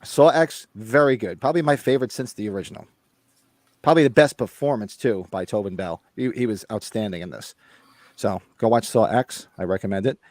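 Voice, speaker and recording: A man's voice: on the low side, a little fast, a little mellow, no room sound, studio quality. An American man hosting a casual chat, rambling and blunt with some swearing, his voice recovering after being lost. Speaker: podcast host. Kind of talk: casual chat about horror movies.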